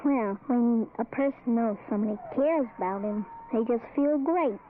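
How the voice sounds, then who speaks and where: A woman's voice: fairly high pitch, moderate pace, lightly acted. A woman voicing a sweet, simple, childlike president in a scripted radio spot, naive and earnest. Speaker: performer in a public service spot. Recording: tinny, faintly noisy old radio recording.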